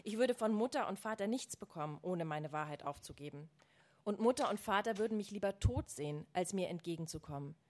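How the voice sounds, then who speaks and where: A woman's voice: mid-range voice, animated, a little fast, darkish tone, lightly acted. A woman reading aloud as a firm, militant woman friend from a novel, urging with insistent emphasis.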